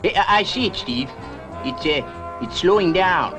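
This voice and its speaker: Excitable old space professor, high and animated, stammering and hesitant, for a retro puppet adventure series. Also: male voice, measured pace, loud music behind the voice. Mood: hesitant, wondering. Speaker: voice actor. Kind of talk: 1960s children's sci-fi puppet series acting.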